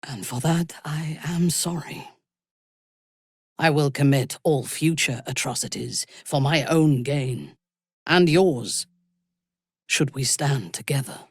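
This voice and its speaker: A woman playing a commanding, ruthless zealot warrior in a video game, speaking with a low husky voice and a measured, imperious, menacing manner. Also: dry recording, clean studio sound, exaggerated voice. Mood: intimate, grave. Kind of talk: video game character voice lines.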